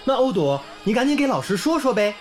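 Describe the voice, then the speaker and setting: An actor playing a young volunteer teacher in a village who speaks warmly and encouragingly. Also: male voice, very high voice, very animated, quick pace. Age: young adult. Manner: warm, encouraging tone. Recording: background music under the scene.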